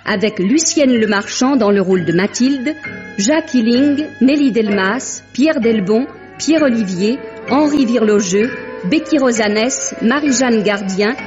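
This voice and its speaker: A woman's voice: fairly high pitch, lively intonation, unhurried. A woman reads formal scripted programme credits as a radio drama announcer. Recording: dull vintage radio recording with music. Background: prominent music.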